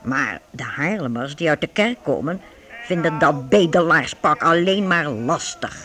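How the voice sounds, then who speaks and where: Slow, musing male storyteller. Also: on the high side, expressive, background noise. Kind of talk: narration in a period radio drama.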